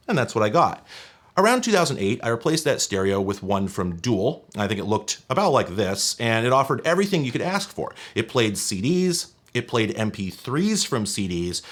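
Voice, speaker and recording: A man in his thirties hosting a tech history video, reading scripted narration in a conversational way with wry, casual asides. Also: lowish voice. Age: 30s. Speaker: host of a tech history show.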